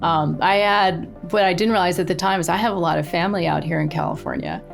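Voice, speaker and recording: A middle-aged woman with a somewhat low voice, chatting as a podcast guest. She tells her angling stories with eager energy and laughs easily. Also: steady tone, quick pace, creaky, soft background music. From born in Australia, raised in the eastern United States. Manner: enthusiastic storyteller, laughs often. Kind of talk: casual interview chat.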